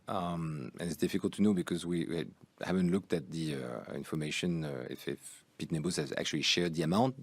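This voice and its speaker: A man speaking as a chief executive on an earnings call, answering in a measured, carefully qualified way. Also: sits low, darkish tone, no room sound. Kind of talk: earnings call Q&A, unscripted explanation.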